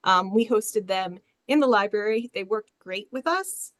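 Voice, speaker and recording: A woman's voice: mid-range voice, unhurried, no room sound, clean studio sound. A woman who is a librarian giving an informal presentation as a panelist on a webinar.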